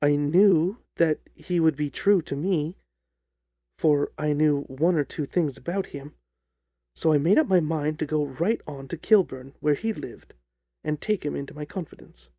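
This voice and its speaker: A man narrating a story as an audiobook reader, in a measured, deliberate, dramatic style. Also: fairly high pitch, creaky, warm tone, dry recording.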